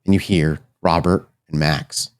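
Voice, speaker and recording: A man's voice: very deep voice, steady tone, unhurried. A man narrating a tabletop horror game as game master, building suspense in short, broken phrases.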